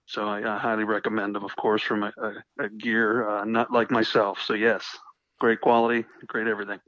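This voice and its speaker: A slightly hoarse man talking casually and with enthusiasm as a guest co-host on a podcast. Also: on the low side, flat delivery, a little fast, a bit bright, no echo. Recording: dull, lo-fi sound.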